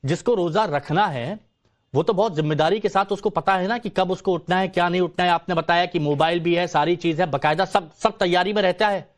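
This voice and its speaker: A man with a notably high-pitched voice moderates a heated television panel. He is insistent, interrupts often and presses the same question again and again. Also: brisk. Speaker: television debate host.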